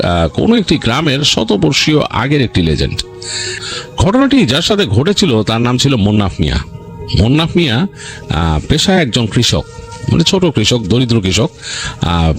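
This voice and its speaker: A man with a Bangladeshi accent hosting a story show, narrating in an unscripted, halting, conversational way and often rephrasing himself. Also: medium pitch. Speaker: horror story show host. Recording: music bed under voice. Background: background music.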